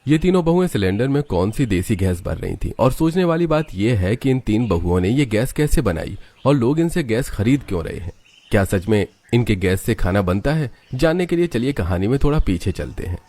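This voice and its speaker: A man's voice: fairly low pitch, very animated, brisk, mellow. A man narrating a scripted cartoon story as its storyteller.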